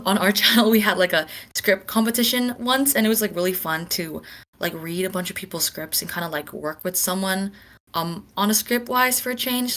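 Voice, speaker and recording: A woman's voice: mid-range voice, fairly bright tone. A woman chatting casually on a panel, very informal, with frequent filler words breaking up her phrases. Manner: informal, peppered with "like". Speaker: podcast panelist. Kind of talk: casual chat.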